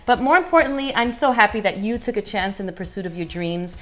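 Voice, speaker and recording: A woman hosting a show, talking casually in an effusive, expressive way. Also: mid-range voice, quick pace. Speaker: cooking show host. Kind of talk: casual lifestyle show chat. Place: home kitchen, indoors. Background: background music.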